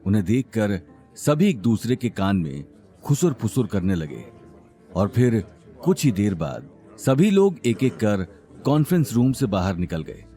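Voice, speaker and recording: Animated male drama narrator over music. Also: lowish voice, a little slow. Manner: dramatic, expressive. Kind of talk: scripted audio drama narration in Hindi.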